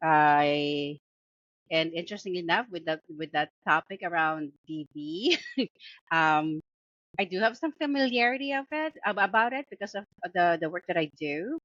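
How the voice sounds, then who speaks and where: A woman with a Filipino accent talks loosely about a book, full of "um"s and restarts, laughing as she goes. Her voice sits a bit low and sounds dull and lo-fi. The recording is close and dry. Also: lively intonation, normal speed, fairly bright tone, no room sound. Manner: chatty, full of fillers and restarts, laughs often. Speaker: book podcast co-host. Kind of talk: casual book review chat.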